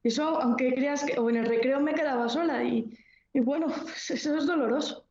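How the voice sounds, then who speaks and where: High-voiced young Spanish woman, echoey room. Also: normal speed, a little mellow. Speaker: event participant giving a personal testimony. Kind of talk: personal testimony. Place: echoey room on a video call. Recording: echoey room, dull lo-fi online audio.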